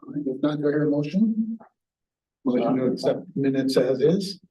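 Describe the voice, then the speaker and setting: A slightly hoarse man chairs a public board meeting, moving through roll call and motions in a methodical way. His voice is higher than most men's yet very dark in tone. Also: expressive, normal speed, muffled recording. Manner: procedural, methodical.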